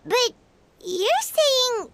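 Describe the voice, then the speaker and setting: Game fairy companion: extremely high squeaky little girl, earnest and halting, some noise behind. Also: sing-song, creaky, exaggerated voice. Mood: troubled disbelief. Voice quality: squeaky, childlike. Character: tiny childlike girl fairy companion, earnest, easily distressed. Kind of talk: video game voice acting.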